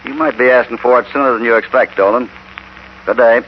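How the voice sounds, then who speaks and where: An American man acting a calm, shrewd, authoritative cowboy hero in a 1950s radio western. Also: medium pitch, brisk, natural voice. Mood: firm, cool. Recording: muffled, noisy old radio broadcast.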